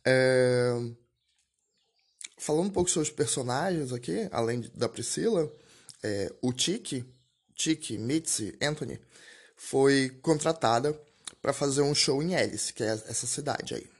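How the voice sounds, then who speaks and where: A man's voice: mid-range voice, unhurried, husky. Chatty young man reviewing a film. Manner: chatty, full of "né?" and restarts, laughs at himself. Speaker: podcast host. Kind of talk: casual solo podcast film review.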